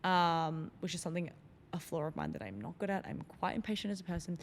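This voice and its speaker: Reflective woman podcaster, lower voice. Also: sing-song, fast talker, vocal fry, in a large hall, slight background noise. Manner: reflective, loose, many fillers like "like" and "um". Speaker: podcast host. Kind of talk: solo podcast monologue.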